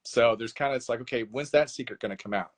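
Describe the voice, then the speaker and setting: A man, an author being interviewed, telling his book's plot in a casual, animated way and acting out bits of dialogue. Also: medium pitch, fast talker. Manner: animated storyteller, acts out dialogue with laughs. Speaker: author guest.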